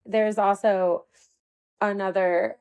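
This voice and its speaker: A woman hosting a podcast interview, asking halting questions and laughing along with her guest. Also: medium pitch, steady tone, darkish tone, clear, resonant tone. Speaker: podcast host. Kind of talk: casual interview chat.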